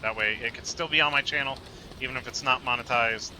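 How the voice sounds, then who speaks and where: A man's voice: mid-range voice, fast, bright, forceful tone, a little hiss or hum, rough recording. A man giving casual commentary as he plays a video game, musing aloud to his viewers.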